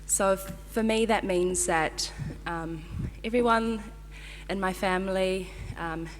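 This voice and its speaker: A woman's voice: medium pitch, slow. A young woman giving a flustered, grateful ceremony speech, with many ums and nervous laughs. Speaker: medical graduate giving a speech. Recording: long hall reverb.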